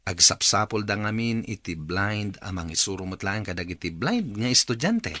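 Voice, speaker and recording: Animated, helpful brother-in-law. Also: male voice, fairly low pitch, moderate pace, no room sound, heavily acted. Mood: encouraging. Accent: northern Philippine. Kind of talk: radio drama acting.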